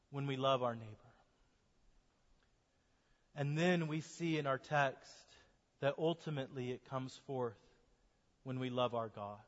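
A man preaching a sermon as a pastor, speaking earnestly and slowing down to stress key points. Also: mid-range voice, fairly bright tone. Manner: earnest preaching, slows for emphasis. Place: church sanctuary. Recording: dull sermon recording in a reverberant church.

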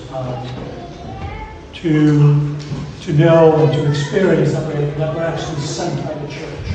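Middle-aged man, missionary at the pulpit, earnest and halting, distant mic in a reverberant church with faint room hum. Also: a little high. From Belgium. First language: Flemish. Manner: earnest, measured, hesitant with frequent fillers. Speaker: visiting missionary speaker. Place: church sanctuary. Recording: echoey church hall from far off.

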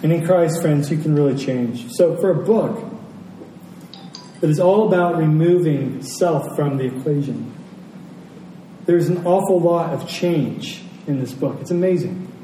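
A man's voice: fairly high pitch, measured pace, warm tone. A man preaching a sermon as a pastor, earnest, repeating key phrases for emphasis. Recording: roomy, distant pickup with faint noise.

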